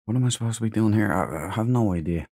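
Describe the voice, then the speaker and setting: A man's voice: lowish voice, expressive, fast, darkish tone, no echo, clean studio sound. A man doing casual let's play commentary, muttering to himself with laid-back, wry asides. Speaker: gamer, let's play host. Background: faint game music.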